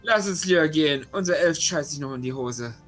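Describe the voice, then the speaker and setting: A man's voice: on the high side, lively intonation, a little fast, loud music behind the voice. A man chatting casually and informally as a player in a tabletop role-playing game. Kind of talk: casual, informal chat and recap.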